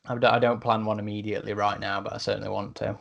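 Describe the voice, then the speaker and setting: A man's voice: on the low side, level tone, fast talker, creaky, lo-fi sound. A man hosting a podcast discussion in a casual way, repeating words as he works through a thought. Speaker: podcast host.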